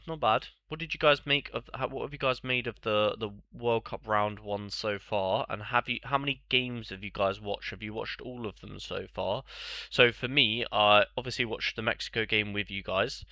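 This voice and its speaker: A young man, talking casually on a live stream, his voice stuffed-up and congested. His tone is bright and clear, and the sound is close and dry with no room around it. He rambles, answering viewers as he goes. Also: lowish voice, dry recording. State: congested, bunged up.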